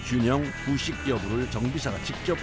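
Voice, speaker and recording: A man's voice: medium pitch, brisk. A man reading scripted documentary narration. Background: background music.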